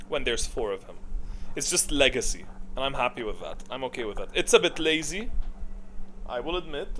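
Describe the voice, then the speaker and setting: A man's voice: a little high, a bit bright, slight background noise, poor audio. A man giving casual, off-the-cuff commentary as he ranks things, thinking aloud and repeating short phrases. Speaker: video creator ranking game heroes.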